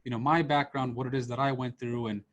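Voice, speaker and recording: A man's voice: medium pitch, steady tone, fast talker, fairly bright tone. Serbian-born man lecturing over a video call. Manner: casual, many fillers and restarts. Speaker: professor giving a seminar. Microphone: computer mic on a video call, camera off.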